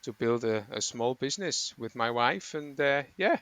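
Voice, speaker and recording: A middle-aged man from Switzerland talks through his work as a running coach in a relaxed interview. His voice is bright and sharply clear, recorded dry and close. He wanders and corrects himself mid-sentence as he explains. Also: mid-range voice, moderate pace, no echo. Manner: rambling, self-correcting explanations with frequent ums. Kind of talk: conversational interview.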